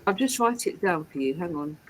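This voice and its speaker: A woman's voice: fairly low pitch, animated, fairly quick, a little hiss or hum, poor audio. A woman from England, tutoring a learner one to one and repeating and rephrasing her questions patiently. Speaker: English tutor. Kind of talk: online one-to-one English lesson.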